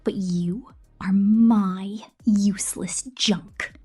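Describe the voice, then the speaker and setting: A woman performing whispered ASMR roleplay as a possessive, menacing female killer robot, with a breathy, theatrical delivery. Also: medium pitch, fairly even intonation, drawn-out words, warm tone, soft background music.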